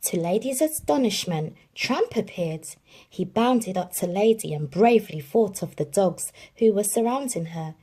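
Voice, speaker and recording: A woman reading a children's picture book aloud, telling the story expressively with dramatic pauses. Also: medium pitch, animated, mellow, slightly rough. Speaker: narrator.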